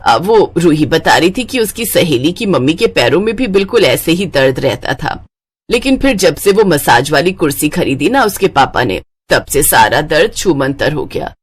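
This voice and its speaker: A woman acts out a middle-aged cartoon stepmother with a lowered voice. She is scheming and self-pitying, grumbling and wheedling her way through family life. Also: sing-song, normal speed, breathy edge, exaggerated voice. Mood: whining, wheedling. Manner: wheedling, complaining. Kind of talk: Hindi cartoon moral story acting.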